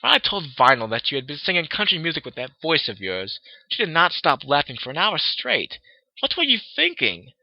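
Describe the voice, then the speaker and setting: A man's voice: a little high, wildly swooping pitch, brisk, creaky, dry recording, voice pushed up, heavily acted. A prim, posh musician mare writes with lilting, mock-refined poise, as a man puts her on. She sits on a dry, muffled recording. The reading has a storybook feel.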